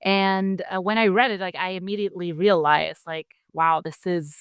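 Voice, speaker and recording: A woman's voice: on the low side, steady tone, normal speed, clear, resonant tone, no echo, studio quality. A woman in her thirties with a German accent, a guest talking casually in an interview, full of "like" and "you know". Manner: chatty, many fillers like "like" and "you know".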